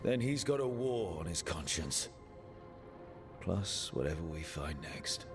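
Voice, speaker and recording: An actor playing a steady, empathetic leader in a fantasy game, speaking in a measured, gentle way. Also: male voice, low voice, loud music behind the voice, exaggerated voice. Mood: sympathetic, concerned. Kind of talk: dramatic game cutscene dialogue.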